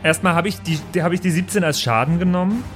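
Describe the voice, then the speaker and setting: A man with a somewhat high voice talks casually at a role-playing table, insisting and repeating his words as he bargains. Music is clearly heard behind him. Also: quick pace. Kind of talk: casual, improvised game-table chat.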